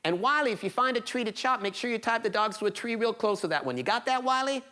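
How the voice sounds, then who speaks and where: A man's voice: very high voice, lively intonation, fast talker, sharp tone, pitched above the performer's own voice, heavily acted. A man voicing a caring, cautioning mother in a folktale, with emphatic sing-song warnings. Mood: earnest warning.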